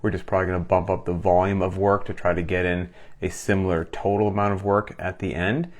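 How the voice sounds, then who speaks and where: A man's voice: sits low, flat delivery, a little fast. A man with a cold, hosting a casual live question-and-answer session as a fitness coach, talking with enthusiasm. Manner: enthusiastic, conversational, reads questions aloud. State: getting over a cold, sucking a cough drop. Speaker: gym owner and coach hosting a Q&A. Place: recorded for a live stream.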